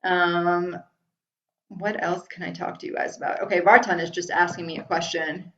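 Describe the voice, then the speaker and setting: A woman's voice: on the low side, flat delivery, normal speed, fairly bright tone. A middle-aged woman from Iran talking casually in a live webinar from home, answering viewer questions with many ums and restarts. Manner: chatty, frequent ums and restarts. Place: room at home. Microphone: video call. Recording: roomy, dull lo-fi video call audio.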